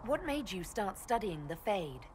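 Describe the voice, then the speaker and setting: Young female elven mage for a fantasy game: medium pitch, earnest, direct, protective. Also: very animated, measured pace. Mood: curious. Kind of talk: video game dialogue acting. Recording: clear ambient noise behind game dialogue.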